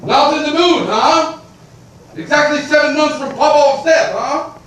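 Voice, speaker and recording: A man's voice: high voice, big pitch swings, moderate pace. A man plays a middle-aged landowner in a permanent fury, ranting in a raised voice. The sound is far from the mic, with heavy room echo. A faint hiss sits under it, as on an old stage recording. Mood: indignant. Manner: ranting, blustering, swings from shouting to grumbling. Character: irascible blustering male landowner creditor, middle-aged. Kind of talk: theatrical stage acting.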